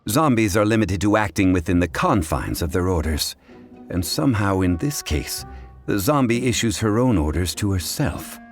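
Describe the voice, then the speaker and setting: A man voicing a composed, courteous scholarly gentleman in a video game, formal, measured and wry, over music. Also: lowish voice, lively intonation. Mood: polite, wry. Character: composed, erudite gentleman consultant, courteous and unhurried. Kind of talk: scripted video game dialogue.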